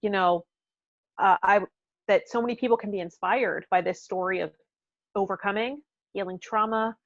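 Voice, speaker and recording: A woman's voice: medium pitch, fairly even intonation, normal speed. A woman co-hosting a podcast in casual chat, heard through slightly dull, lo-fi audio. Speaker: podcast co-host.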